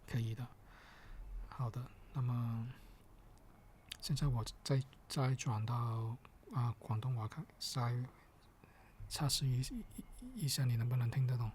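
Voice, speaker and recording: A man performs ASMR in a gentle, soft-spoken voice that often slips into a whisper. His pace is slow and his pitch is middling. He sounds patient and a little hesitant, going step by step, over a faint layer of background noise. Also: steady tone.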